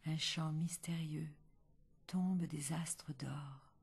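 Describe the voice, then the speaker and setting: Low, husky whispering woman reciting poetry. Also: fairly even intonation, drawn-out words. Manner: hushed, half-whispered delivery.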